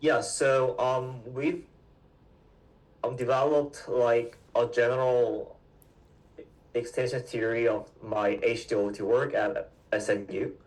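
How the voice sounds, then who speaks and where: A man in his twenties from South Korea, a guest answering interview questions in a halting way, with many fillers and restarts. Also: mid-range voice, fairly even intonation, recorded from a distance, poor audio. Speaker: guest, PhD student.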